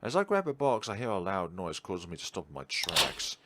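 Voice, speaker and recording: A man's voice: sits low, huge pitch swings, brisk, very noisy. A man reading a visual novel aloud and commenting as a let's player, sometimes stumbling and correcting himself. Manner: stumbles over read lines and corrects himself. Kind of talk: game commentary and dramatic read-aloud narration.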